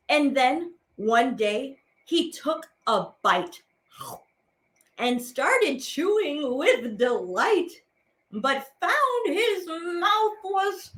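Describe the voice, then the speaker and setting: A high-voiced woman reads children's verse aloud as a teaching artist. Her delivery is theatrical and playful, swooping widely in pitch. She takes it slowly, stretching out words for effect. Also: fairly bright tone. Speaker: teaching artist and program host. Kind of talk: expressive read-aloud of children's poems.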